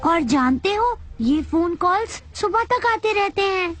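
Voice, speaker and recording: A woman's voice: extremely high pitch, lively intonation, measured pace, a bit bright, heavily acted. A woman voicing a sweet, earnest young schoolgirl in a Hindi cartoon dub. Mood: earnest concern. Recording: music under the voice.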